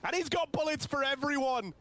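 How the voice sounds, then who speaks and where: A man casting an esports match with play-by-play commentary, drawn-out hype calls and playful wordplay. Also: extremely high pitch, noisy background. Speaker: esports caster. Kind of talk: play-by-play commentary with casual banter.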